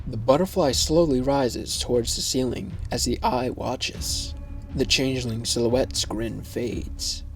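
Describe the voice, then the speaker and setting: A man narrating a scripted fantasy story in a measured, descriptive way. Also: medium pitch, soft background music.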